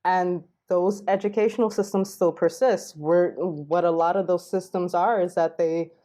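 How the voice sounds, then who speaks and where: A woman from Queens, New York, talking as a podcast guest and linguistic anthropologist, explaining at length in a fluent, relaxed way with many fillers. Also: on the low side, moderate pace. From Queens, New York, United States. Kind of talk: casual interview.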